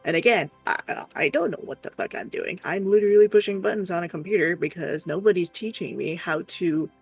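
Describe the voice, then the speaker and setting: Woman, gravelly voice, casual storytelling, exasperated, frank and sweary, faint background music. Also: fairly low pitch, fairly quick. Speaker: streamer.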